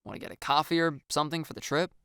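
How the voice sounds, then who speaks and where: Flustered young man, acted by a woman: hesitant, pouty, melodramatic audiobook dialogue with big pitch swings. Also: expressive, racing, fairly bright tone, clear, resonant tone, no room sound, clean studio sound. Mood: plain, dry. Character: flustered young man playing pouty mock-dramatic leading lady.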